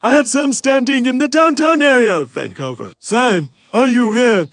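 A man's voice: very high voice, lively intonation, normal speed, no room sound, studio quality, full character voice. A man playing an earnest villager news anchor, reading a formal scripted bulletin. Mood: formal, serious.

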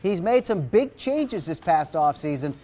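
A middle-aged man anchoring sports news in a TV studio, reading scripted stories and calling highlights. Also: very animated, measured pace, warm tone.

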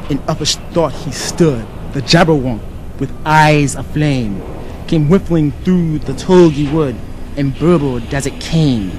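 A man's voice: measured pace, a little mellow, natural voice. An actor playing a drunken, eccentric madman in an audiobook drama, theatrical, whimsical and defiant. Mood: declaiming. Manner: theatrical, dotty, declaiming nonsense verse. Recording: noisy, distant, rumbling backdrop.

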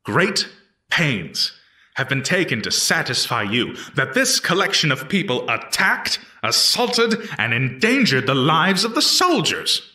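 A man playing an earnest eighteenth-century prosecutor in a historical reenactment, addressing a jury in deliberate, declamatory, formal courtroom oratory. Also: a little high, sing-song, pushed and bright, exaggerated voice. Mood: earnest, persuasive. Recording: echoey room, distant microphone.

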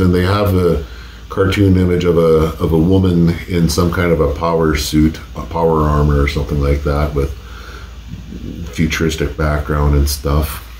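Deep-voiced congested man in his forties. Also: darkish tone, reverberant, slight background noise, low-quality recording. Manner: laid-back, swears casually. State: congested from a cold. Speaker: host. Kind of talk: casual taste-test vlog. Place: home office, indoors. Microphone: desk condenser mic.

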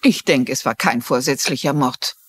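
A woman's voice: rumbling low, moderate pace, breathy edge. A woman playing a shrewd, courteous older amateur sleuth in a dubbed TV drama, polite and probing. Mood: probing, grave.

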